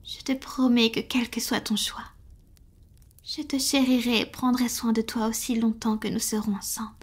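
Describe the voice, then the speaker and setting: A woman playing a tender, devoted female vampire in an intimate roleplay audio, speaking softly and affectionately, often in a breathy whisper. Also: a little high, little pitch movement. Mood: devoted, gentle. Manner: slow, gentle, affectionate, with pauses. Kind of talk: French roleplay audio, soft intimate ASMR acting.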